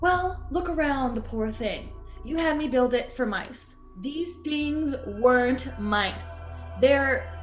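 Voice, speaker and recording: A woman playing a flustered, excitable, plain-spoken robot builder in an old-time radio science-fiction drama. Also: high voice, takes it slowly, roomy sound, faint music behind. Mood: dismayed. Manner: excitable, stammering protests.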